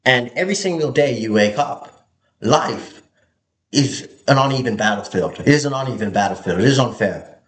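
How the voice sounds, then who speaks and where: A young man from Hong Kong giving an earnest, halting motivational monologue to camera, often repeating his words. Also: mid-range voice, lively intonation, echoey room.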